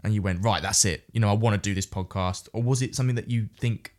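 A man's voice: fairly low pitch, quick pace, darkish tone. A man hosting a podcast, asking earnest, long and rambling questions of his guest. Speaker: podcast host. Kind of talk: interview questions.

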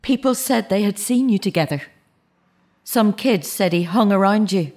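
Actress as bereaved mother, lively intonation, probing, earnest, insistent, naturalistic radio drama. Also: medium pitch, normal speed, close to an everyday voice. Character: grieving, searching mother of a dead teenage son. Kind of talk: naturalistic audio drama acting.

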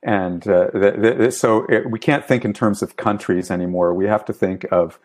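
Male professor guest, middle-aged, low voice, measured and deliberate delivery. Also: fairly even intonation, darkish tone. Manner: measured, deliberate with some stammering. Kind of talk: interview answers.

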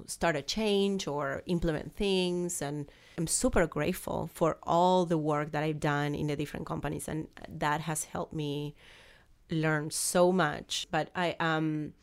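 A woman in her forties with an Argentine Spanish accent, a podcast guest who speaks haltingly, with many restarts, fillers and small laughs. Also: low-pitched, clear, resonant tone. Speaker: guest, business founder. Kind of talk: conversational interview.

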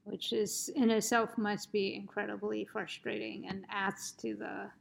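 A woman's voice: medium pitch, flat delivery, a little slow, vocal fry, poor audio. A woman interviewing a guest, asking questions and responding with frequent short acknowledgements. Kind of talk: conversational interview.

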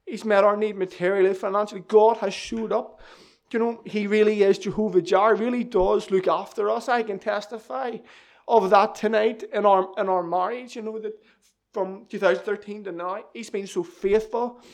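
Earnest young preacher. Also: male voice, high-pitched. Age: 20s. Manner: earnest, repeats key lines for emphasis. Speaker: lay preacher giving testimony. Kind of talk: church testimony and sermon.

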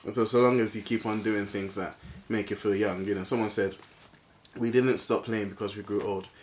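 A man in his late twenties chatting casually on a podcast, animated and quick to laugh. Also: fairly low pitch. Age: 20s. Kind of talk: casual chat. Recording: echoey room with faint noise.

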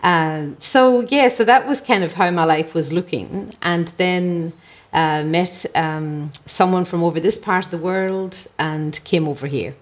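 Low-pitched Irish woman, reflective storyteller. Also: measured pace, few pauses. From Ireland. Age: middle-aged or older. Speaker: podcast guest, mental health advocate. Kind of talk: conversational personal storytelling. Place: at home, indoors.

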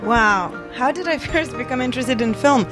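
A woman's voice: on the high side, animated, moderate pace, music in the background. A woman from Lithuania with a Lithuanian accent, talking casually and reflectively as an interview guest. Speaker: interview guest, documentary filmmaker. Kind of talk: casual conversational storytelling.

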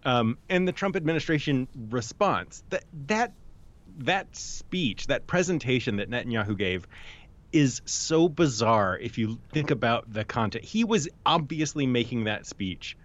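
A man's voice: mid-range voice, expressive, measured pace. A man co-hosting a political discussion, laying out his points analytically with frequent restarts. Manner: analytical, many restarts and hedges. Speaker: podcast co-host. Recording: dull lo-fi sound.

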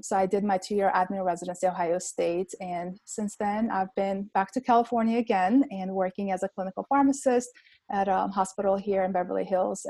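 A woman's voice: mid-range voice, mellow, no echo. A woman in her thirties from Armenia, telling her story earnestly as a podcast guest with many ums and likes. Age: 30s. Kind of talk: casual interview talk.

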